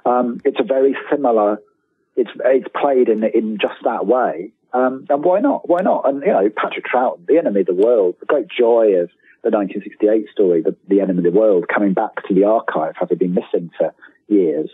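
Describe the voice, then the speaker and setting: A man gives casual interview answers over a thin, roomy phone line. His voice is husky and on the low side. He often restarts and rephrases as he works through a thought. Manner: halting, rephrases and repeats words as he thinks aloud. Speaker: guest author and lifelong fan. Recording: tinny, roomy telephone-like line.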